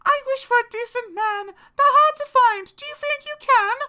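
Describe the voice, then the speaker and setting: A prim sweet young woman, super high and thin. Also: moderate pace, pushed and bright. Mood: pleading.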